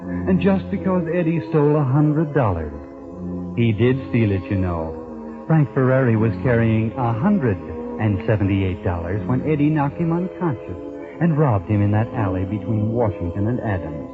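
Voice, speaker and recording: An actor playing a knowing, sardonic narrator in a 1940s radio mystery, wry and ominous as the storyteller. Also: male voice, mid-range voice, animated, moderate pace, close to an everyday voice. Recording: muffled old radio recording with music.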